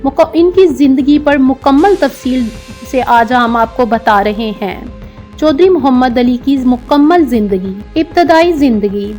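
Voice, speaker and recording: A woman narrates a documentary in a fairly high voice. Her delivery is formal and measured, clearly read from a script, and soft music plays underneath. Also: animated. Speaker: documentary narrator and channel presenter.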